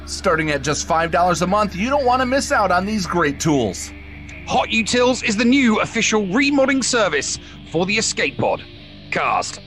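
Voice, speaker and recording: A man's voice: high voice, very animated, normal speed, fairly bright tone. A man announcing a scripted commercial over guitar music. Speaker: advert announcer. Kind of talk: lively scripted commercial read. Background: faint guitar music.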